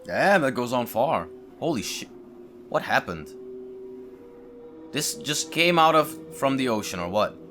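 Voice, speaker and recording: A man's voice: mid-range voice, sing-song, unhurried, pauses often, bright, forceful tone, soft background music. A man hosting a gaming video, reacting aloud in casual commentary with exclamations and musing questions.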